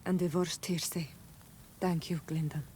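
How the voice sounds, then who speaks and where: A woman acting a grave, low-voiced tribeswoman. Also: little pitch movement, a little mellow, slight background noise, close to an everyday voice. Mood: grieving. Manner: grave, deliberate, formal. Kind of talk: fantasy video game cutscene acting.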